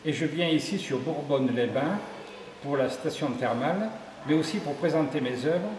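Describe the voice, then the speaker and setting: A man's voice: a little high, darkish tone. A middle-aged man, explaining his work as a sculptor in an interview. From Ardèche, southern France. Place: indoors in an exhibition room at a tourist office. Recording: echoey reverberant hall, faint background noise.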